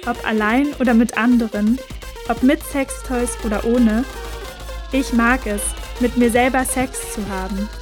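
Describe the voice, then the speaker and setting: Relaxed woman speaking slowly and personally. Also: on the high side, music in the background. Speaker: performer in an audio play. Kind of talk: scripted educational radio play reading.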